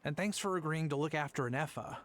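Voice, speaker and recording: A man's voice: fairly high pitch, quick pace, a bit bright, natural voice. An actor playing a polite, earnest man in a fantasy video game. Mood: grateful. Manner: earnest, courteous.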